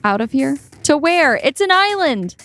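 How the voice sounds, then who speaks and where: A woman's voice: sits high, big pitch swings, a little slow, fairly bright tone, exaggerated voice. A woman playing a stubborn, defiant heroine in an audio play. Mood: incredulous. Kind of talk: audio play table read.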